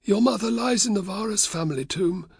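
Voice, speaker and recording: A man's voice: high voice, a little fast, vocal fry, slightly rough, dry recording, clean studio sound, voice pushed up, exaggerated voice. A man voicing a terrified, fat, middle-aged Roman uncle who whines and pleads. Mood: groveling. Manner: whining, pleading, trembling.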